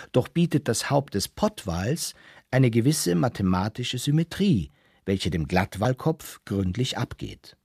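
A husky-voiced man reads literary narration for a radio drama. His pitch moves widely as he lectures theatrically, speaking straight to the listener. The recording is dry and close, with little room sound. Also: a little mellow, no echo. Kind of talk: literary scripted narration, radio play reading.

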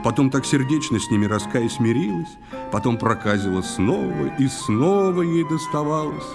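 A man reading a poetic tale as an audio-play narrator, expressive and lyrical. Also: mid-range voice, moderate pace, gravelly. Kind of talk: dramatic verse narration, audio storytelling. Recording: music under the voice. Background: guitar and instrumental music.

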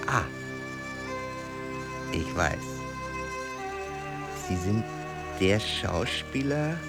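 An actor playing a brooding, solitary man in a literary radio drama, speaking in a halting, reflective way. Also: fairly low pitch, very animated, over loud music, heavily acted. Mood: hesitant, reflective. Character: brooding solitary man recalling grief and lost love.

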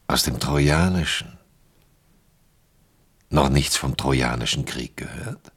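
An actor playing an aging, boastful and weary wandering king in a German radio play, grandiloquent and reflective, with a gravelly voice. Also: rumbling low, slow pace, a bit bright, exaggerated voice. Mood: proud.

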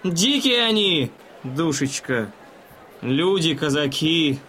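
Smug gentleman, highish voice, mannered, patronizing, blasé, audio drama, faint background noise. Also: very animated, slow, full character voice. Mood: condescending, explaining. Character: smug, condescending gentleman spectator courting a young lady.

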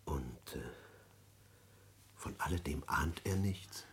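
A man playing a courteous elderly professor in a radio play, speaking haltingly in a husky, raspy voice. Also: extremely low pitch, a bit bright, slight background noise, exaggerated voice. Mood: hesitant, probing. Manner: halting, murmured interjections and hesitations. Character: hesitant, courteous elderly professor and old family friend. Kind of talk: German radio play acting.

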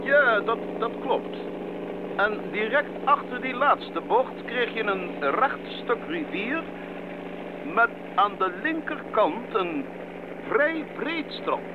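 An actor playing a decisive, concerned mission doctor in a Dutch radio drama. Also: male voice, very high-pitched, very animated, slow pace, heavily acted. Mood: urgent, grave. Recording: dull recording with clear background noise.

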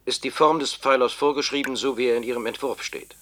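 An actor playing an efficient brain-bank engineer in a German science fiction radio play, polite, precise and businesslike. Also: male voice, medium pitch, fairly even intonation, quick pace, fairly bright tone, natural voice.

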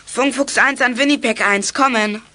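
A high-voiced plucky boy detective. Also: normal speed, bright, forceful tone, slight background noise. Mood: stern, triumphant. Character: plucky boy detective, leader of young CB radio gang. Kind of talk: German children's radio play acting.

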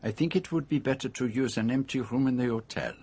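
An actor playing a courteous, self-assured male detective in a radio drama, speaking formally and deliberately in precise phrases. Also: medium pitch, a little mellow, husky. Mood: courteous, measured.

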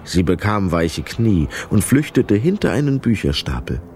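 A man's voice: sits low, sing-song, normal speed, darkish tone, a little hiss or hum. A man narrating a children's radio play as a scripted storyteller.

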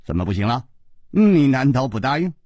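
A man's voice: mid-range voice, sing-song, a little fast, a little mellow, slightly rough, no echo, studio quality, voice pushed down. A man voicing a confident, easygoing hero who teases his friend with a chuckle. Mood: teasing.

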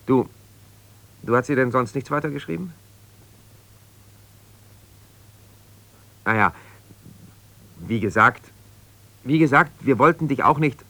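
An actor playing an evasive, ambitious lawyer whose marriage has broken up, talking haltingly and reassuringly yet with exasperation in a naturalistic radio drama. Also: male voice, mid-range voice, sharp tone, no echo. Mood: exasperated, reassuring. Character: ambitious lawyer husband, evasive, placating, strained, newly separated. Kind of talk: naturalistic 1970s German radio drama, one-sided phone calls.

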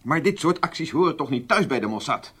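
An actor playing a worried, cautious senior government official in a Dutch radio drama, with formal, measured phrasing. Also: male voice, on the high side, lively intonation, a bit bright, breathy edge. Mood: disapproving.

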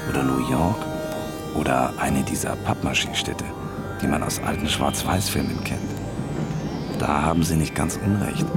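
An actor playing a world-weary, broke private detective, narrating a noir radio drama in a laconic, wry way. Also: male voice, a bass voice.

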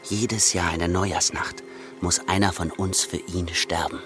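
A shrewd mill apprentice who poses as a fool in a radio play. The voice is low, deliberate and knowing, with a secretive air. Music plays clearly behind him. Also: male voice, steady tone. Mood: stern warning.

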